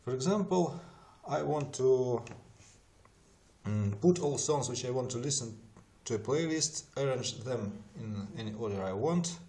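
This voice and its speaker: A man's voice: mid-range voice, expressive, measured pace, warm tone. Non-native English speaking man presenting a tutorial. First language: not English. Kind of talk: explanatory tutorial narration.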